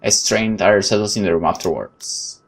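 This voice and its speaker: A man's voice: on the low side, moderate pace, gravelly. A man hosting a gaming video, expressively reading out game text and adding his own commentary. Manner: expressive, reads game text aloud with interjections. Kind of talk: let's play narration and commentary.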